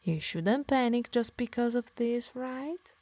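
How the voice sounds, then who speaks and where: A woman with an Italian accent playing a possessive, obsessed harpy in an ASMR roleplay, soft and breathy, slipping into whispers, both tender and menacing. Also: fairly high pitch, expressive, a little slow, no room sound. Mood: smug, menacing. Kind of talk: intimate ASMR roleplay acting.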